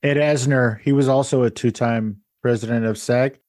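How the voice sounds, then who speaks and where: A man's voice: medium pitch, steady tone, moderate pace, a little mellow. A man hosting a podcast, introducing his guest and chatting casually with enthusiasm. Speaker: podcast host. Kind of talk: casual interview chat.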